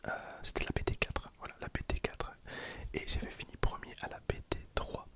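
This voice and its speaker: Softly whispering young man. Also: normal speed. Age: 20s. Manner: soft whisper, hesitant with frequent fillers. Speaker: ASMR creator. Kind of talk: whispered ASMR card opening. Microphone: handheld recorder behind the camera.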